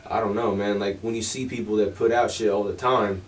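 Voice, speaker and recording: A fairly low-voiced man hosts a loose, casual conversation, swearing offhandedly and nodding along with short replies. His voice sits a bit back from the mic. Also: steady tone, fairly quick, recorded from a distance. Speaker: interview host. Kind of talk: casual interview talk.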